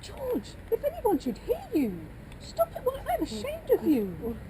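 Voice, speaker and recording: A woman's voice: extremely high pitch, wildly swooping pitch, a little slow, dark tone, slight background noise, exaggerated voice. A woman playing a flustered, anxious mother fussing over her son. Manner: fretful, flustered.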